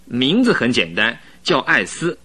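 An actor playing an overworked, complaining innkeeper in a radio drama, with a raspy, sharp voice. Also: male voice, mid-range voice, wildly swooping pitch, measured pace, full character voice. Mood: offhand. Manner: complaining, resigned. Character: grumbling, overworked innkeeper who dislikes dogs, male. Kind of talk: Mandarin radio drama acting.

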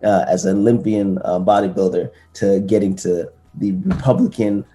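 A man talks through a motivational story in a loose, earnest podcast style, circling back with fillers and restarts. His voice sits low and sounds mellow and relaxed. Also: normal speed. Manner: earnest, rambling with many fillers. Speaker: podcast co-host. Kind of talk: casual motivational chat.

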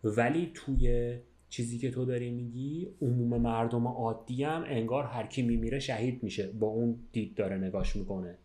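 A man's voice: mid-range voice, fairly even intonation, few pauses, mellow. A man explaining things casually in slangy speech with English words, recorded with a roomy echo. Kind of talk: casual conversational podcast discussion. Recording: echoey, roomy sound.

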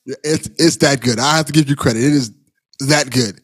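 A man's voice: medium pitch, fairly quick, slightly rough, no room sound, studio quality. A man hosting a podcast, chatting casually with affectionate banter. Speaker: podcast host. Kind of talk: casual interview chat.

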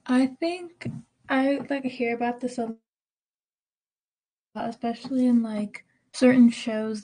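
A woman's voice: a little high, dark tone. A woman in a student discussion, sharing her opinion casually and filling her speech with "like.". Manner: says "like" constantly.

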